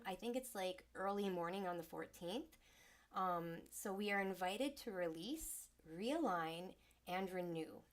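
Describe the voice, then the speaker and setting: A woman hosting a livestreamed spiritual talk from her small home studio. She speaks in a soft, soothing, unhurried way and sounds slightly congested from a cold. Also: medium pitch. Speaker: host and sound healer.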